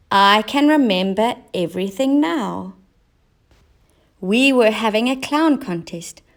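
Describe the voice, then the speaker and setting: Lady doing a muddled clown guy for a kids story, high voice, earnest n emotional. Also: vocal fry, full character voice. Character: confused, forgetful male circus clown, emotional and earnest. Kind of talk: children's storybook character voice.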